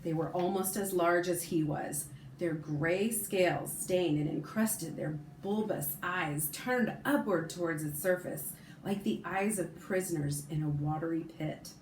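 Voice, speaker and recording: A woman teacher reading a novel aloud to her class, expressive and pausing to comment or correct herself. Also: lowish voice, roomy sound, slight background noise, rough recording.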